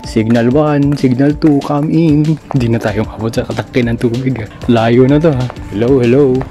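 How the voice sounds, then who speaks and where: A man's voice: medium pitch, normal speed. A man with a Filipino accent talking casually on a walking vlog, breaking off to make radio check-ins on a walkie-talkie. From Philippines. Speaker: vlogger testing walkie-talkies. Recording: loud music over the voice. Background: loud music.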